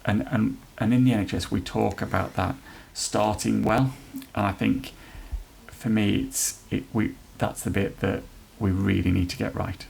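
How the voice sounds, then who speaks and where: A man who leads an NHS trust's inclusion work, answering podcast questions in an earnest, halting way with many restarts. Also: lowish voice, fairly even intonation, creaky, darkish tone, a little hiss or hum. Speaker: NHS trust leader chairing an inclusion council.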